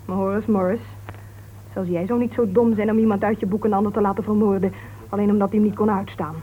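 A woman playing a sharp-tongued, argumentative crime writer in a Dutch radio play, speaking in a pointed, goading way. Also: medium pitch, little pitch movement, fast, natural voice. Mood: indignant. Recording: old radio recording, narrow tinny band, hiss.

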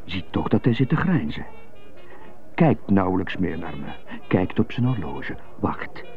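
Through a thin, phone-like recording with music behind, a brooding, indignant prisoner in a radio play. His pitch swings as he mutters questions to himself in short bursts, with a stutter that surfaces on some words. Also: sing-song, unhurried, vocal fry, full character voice. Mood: bitter, brooding. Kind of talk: radio drama monologue. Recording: muffled narrow phone-like sound, music behind.

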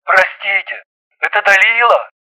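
A man's voice: sits high, unhurried, bright, forceful tone, no room sound, exaggerated voice. A man playing a timid, flustered, pleading stranger calling on the phone in an audiobook, heard through a telephone filter. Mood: bewildered. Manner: timid, stammering, bewildered, pleading. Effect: telephone or radio filter. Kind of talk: audiobook character acting. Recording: thin band-limited phone-line sound.

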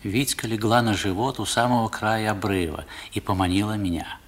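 A man's voice: lowish voice, fairly even intonation, normal speed, natural voice. A man narrating a 1960s radio play as a grown storyteller recalling boyhood, in a dramatic literary manner, on an old recording. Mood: reflective.

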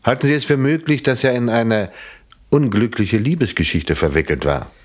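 An actor playing a polite, probing police inspector in a German radio crime play, questioning with courteous insistence. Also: male voice, mid-range voice, expressive, normal speed, lightly acted.

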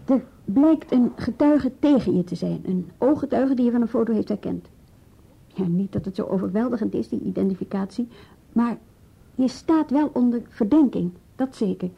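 A woman's voice: a little high, gravelly, slightly rough. A woman acting in a radio drama as a frank, level-headed policewoman, speaking carefully and matter-of-factly. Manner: careful, deliberate, matter-of-fact. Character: policewoman, level-headed, frank, guarded adviser to a young suspect. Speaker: police colleague. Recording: dull radio play recording.

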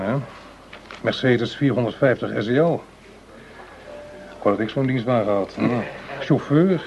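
An actor playing a cautious, calculating justice minister in a radio play, speaking in deliberate, formal phrases. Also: male voice, mid-range voice, dull audio, slight background noise, lightly acted.

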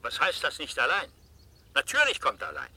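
A man's voice: mid-range voice, huge pitch swings, fairly quick, bright, forceful tone, husky, heavily acted. An actor in a radio drama playing a domineering, indignant father and boss, exasperated and sarcastic.

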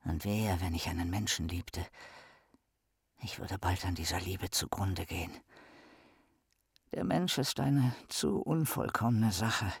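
An actor playing a lonely, tormented, bitter philosopher in a radio drama, with theatrical, declamatory delivery. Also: male voice, a little slow, gravelly, pauses often, husky, no echo, studio quality, full character voice. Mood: bitter, brooding. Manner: theatrical, declamatory, swinging from muttering to shrill outbursts. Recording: music behind the voice.

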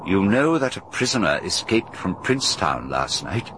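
Chuckling fussy old gentleman. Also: low voice, big pitch swings, moderate pace, background noise. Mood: chatty. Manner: chuckling, fussy, hesitant repeats. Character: genial older gentleman, amateur criminologist. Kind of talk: 1930s-style BBC radio drama.

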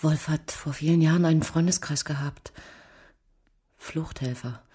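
A woman's voice: low-pitched, unhurried, dark tone, slightly rough. An actress playing the anxious, guarded wife of a missing man in a radio crime drama, subdued and hesitant. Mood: hesitant, recalling.

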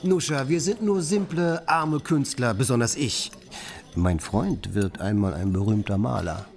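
An actor playing a talkative, poor bohemian artist, florid and persuasive, in a literary radio play. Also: male voice, mid-range voice, unhurried, darkish tone, natural voice. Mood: coaxing. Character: talkative poor male bohemian artist, playful, scheming matchmaker.

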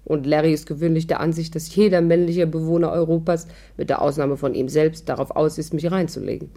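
A woman's voice: low voice, fairly even intonation, brisk, warm tone. An actress playing a witty, persuasive worldly woman with a playful, wry manner, in a 1950s radio drama. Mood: wry narration. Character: witty, persuasive, worldly female adventurer telling her story. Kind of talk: 1950s German radio drama acting and narration.

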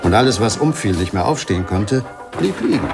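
An actor playing a wry, unflappable jazz musician recalling rough nightclub days in a radio play, with dry storytelling. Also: male voice, fairly low pitch, very animated, a little fast, over music.

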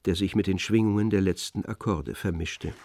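A man's voice: deep voice, normal speed, darkish tone, some chatter behind, a little hiss or hum. A man narrating a literary radio play in a scripted, storytelling style. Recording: music behind the voice. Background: music.

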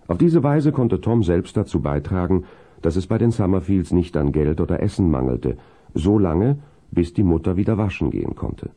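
A man narrating a seventies German radio play as a calm, kindly storyteller in measured, scripted prose. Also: sits low, heavily acted.

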